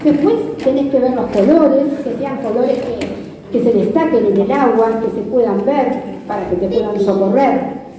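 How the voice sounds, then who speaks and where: A woman's voice: fairly high pitch, unhurried, warm tone, slight background noise. A middle-aged woman with a Rioplatense Argentine accent giving a conversational instructional talk on a handheld microphone, often checking in with her listeners. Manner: conversational, checking in with listeners using question tags. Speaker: kayak fishing safety instructor. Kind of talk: live instructional talk to an audience. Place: large hard-floored club hall with seated audience. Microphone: handheld microphone over PA, recorded by a distant camera.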